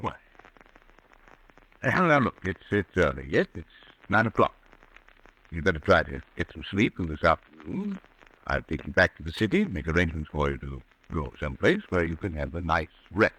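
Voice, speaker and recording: An actor playing a firm doctor friend in an old-time radio drama, by turns placating and stern, with an authoritative manner. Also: male voice, low voice, big pitch swings, moderate pace, long pauses, a bit bright, slightly rough, full character voice, low-quality recording.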